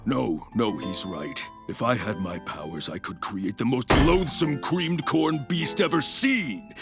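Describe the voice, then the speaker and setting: A man voicing a pompous middle-aged mutant villain in a cartoon, gravelly, haughty and theatrical. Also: medium pitch, lively intonation, a little slow, over loud music. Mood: grandiose scorn. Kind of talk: animated cartoon voice acting.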